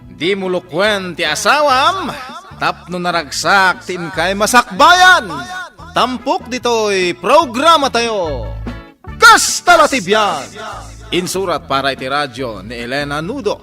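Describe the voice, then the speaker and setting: A man's voice: sits high, sing-song, slow pace, bright, forceful tone, faint music behind. A man with an Ilocano Filipino accent giving an emphatic scripted outro as a radio drama announcer.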